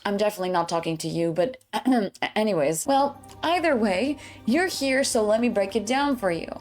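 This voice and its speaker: A woman's voice: medium pitch, lively intonation, moderate pace, a little mellow. Chatty woman narrating over background music. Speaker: VTuber and content creator. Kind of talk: scripted, chatty video narration to viewers.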